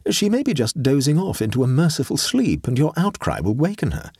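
A man voicing a mild gentleman artist in a storybook reading, precise, dry and politely stubborn. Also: medium pitch, huge pitch swings, a little fast, slightly rough, no echo, voice pushed up, exaggerated voice. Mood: calmly reproving. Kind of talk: storybook character voice.

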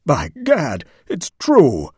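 A man voicing an excitable gentleman telling his news breathlessly and in agitation. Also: a little high, very slow, breathy edge, no echo, clean studio sound, pitched above the performer's own voice, full character voice. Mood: agitated. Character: excitable older English gentleman, flustered.

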